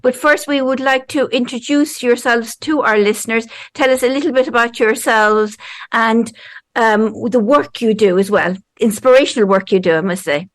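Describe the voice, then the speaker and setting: A woman's voice: fairly high pitch, moderate pace, no echo, clean studio sound. A woman hosting a radio interview, friendly and encouraging toward her guests. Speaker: radio host.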